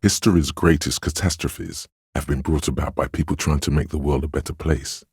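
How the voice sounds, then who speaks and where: A man voicing a solemn, tender inner voice that reads others' feelings in a video game, measured and brooding in delivery. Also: fairly even intonation, a little mellow, no echo, studio quality, heavily acted. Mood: measured, grave. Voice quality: deep, husky, gravelly. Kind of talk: scripted video game narration.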